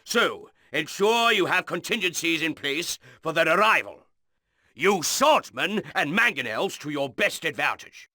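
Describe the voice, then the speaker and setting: An actor playing a stern noble military ally, giving earnest, formal orders with authority in a video game briefing. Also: male voice, a little high, big pitch swings, measured pace, bright, forceful tone, no room sound, studio quality, full character voice. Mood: cautioning. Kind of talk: video game mission briefing, dramatic acting.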